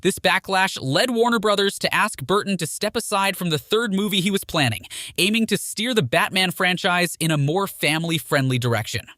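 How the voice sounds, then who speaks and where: A man's voice: high voice, a little fast, bright, forceful tone, no echo, clean studio sound. A man reading a scripted film trivia narration in a presenter's manner, posing questions to the listener. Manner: presenter-like, poses rhetorical questions. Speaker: video narrator.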